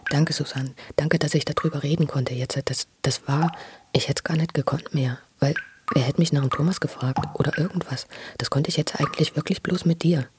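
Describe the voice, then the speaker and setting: A woman voicing a fragile former East German prisoner piecing a lost memory together in a halting, intimate radio drama monologue. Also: sits low, steady tone, natural voice. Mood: grateful, searching. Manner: halting, searching, intimate, with broken restarts. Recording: clearly noisy background with clicks.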